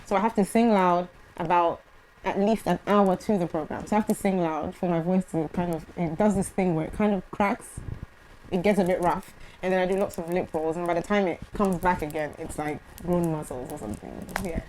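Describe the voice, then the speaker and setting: A young woman with a somewhat low voice answers questions about singing, soft-spoken and hesitant, with frequent fillers and restarts. A faint hum of room noise sits behind her. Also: fairly even intonation, a little fast, poor audio. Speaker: gospel singer guest. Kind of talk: casual interview answers.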